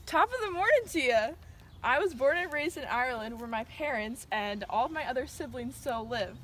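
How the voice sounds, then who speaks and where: A woman's voice: high voice, animated, moderate pace, fairly bright tone, a little hiss or hum. A teenage girl playing a cheerful, rambling Irish immigrant woman in an amateur newscast skit. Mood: chirpy, rambling. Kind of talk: amateur school skit interview.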